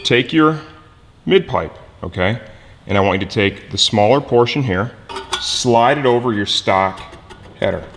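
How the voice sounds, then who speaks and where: Male how-to presenter, lower register, practical instructional delivery, recorded with long room echo and background noise. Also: animated, a little slow, a bit bright. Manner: instructive, checks with "okay". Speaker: motorcycle mechanic presenter.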